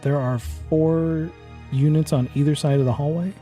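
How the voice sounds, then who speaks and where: A man's voice: on the high side, fairly even intonation, moderate pace, mellow, faint music behind. A man hosting and narrating a horror tabletop game as game master, with a suspenseful storytelling delivery. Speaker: game master and show host.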